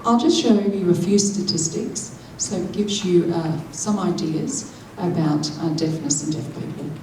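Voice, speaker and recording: A woman, interpreting a deaf speaker's keynote into spoken English in the first person, with a measured manner that draws in the audience. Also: lowish voice, dark tone, a little hiss or hum. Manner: measured, engaging the audience with questions. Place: large conference hall. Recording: echoey hall, voice picked up from a distance.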